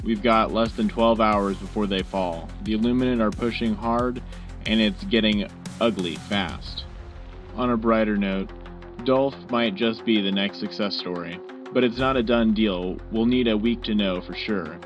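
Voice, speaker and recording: Male actor as wartime news anchor: earnest scripted delivery, low register, light music bed. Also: measured pace, natural voice. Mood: earnest, urgent. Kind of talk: scripted radio news broadcast.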